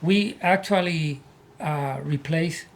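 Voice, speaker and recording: Puerto Rican-accented man, earnest, rather high. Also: slow. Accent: Puerto Rican Spanish. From Puerto Rico. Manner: earnest, repeats words as he searches for English. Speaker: guest, army veteran. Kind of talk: casual interview storytelling.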